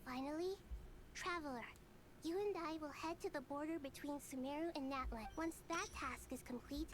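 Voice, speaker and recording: A woman's voice: high voice, moderate pace, a little hiss or hum, close to an everyday voice. A woman voicing a small, earnest young female leader with a childlike voice in a video game. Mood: earnest, composed.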